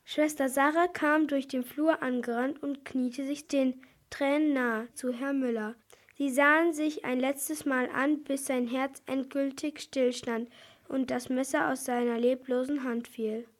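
A woman's voice: high voice, fairly even intonation, a little slow. A female reader playing the narrator of a dramatic crime radio play. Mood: grave.

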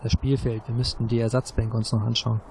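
A man's voice: mid-range voice, level tone, brisk, a little mellow, a little hiss or hum. A man playing as a contestant, politely making suggestions in casual game discussion.

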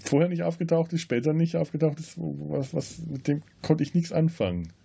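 A man podcast host in a casual film discussion, often halting as he searches for words. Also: on the high side, creaky, mellow, slightly rough.

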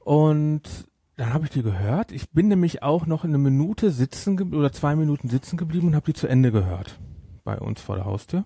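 A man's voice: fairly high pitch, animated, fairly quick, vocal fry, dark tone, breathy edge, no room sound, studio quality. A man hosting a casual podcast discussion. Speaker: podcast host.

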